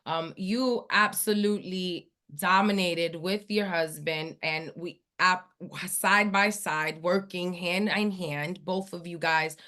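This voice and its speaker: A woman's voice: fairly low pitch, steady tone, takes it slowly, hardly any pauses, bright, forceful tone. A woman hosting an online training call, talking with enthusiasm and building hype in an emphatic way.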